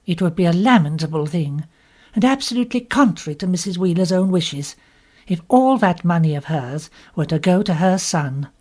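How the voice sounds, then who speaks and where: A man's voice: high voice, moderate pace, darkish tone, voice pushed down. A man voicing an earnest, aggrieved older lady's companion in a storybook, speaking formally and insistently. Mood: earnest indignation.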